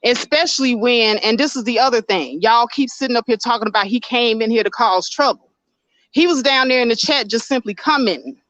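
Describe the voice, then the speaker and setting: A woman's voice: on the high side, fairly quick, fairly bright tone, no room sound, highs cut off. A woman hosting a livestream with a powerful voice, arguing her point bluntly and answering her chat. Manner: blunt and argumentative, reading chat aloud. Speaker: livestream host. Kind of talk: casual livestream commentary.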